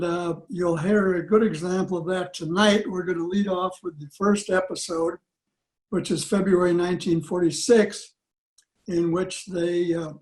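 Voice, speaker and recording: An elderly man giving an informal introduction to a program on a video call, in a halting, deliberate way. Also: high voice, darkish tone, poor audio. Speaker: club presenter introducing an old radio show. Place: small room at home. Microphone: computer video call.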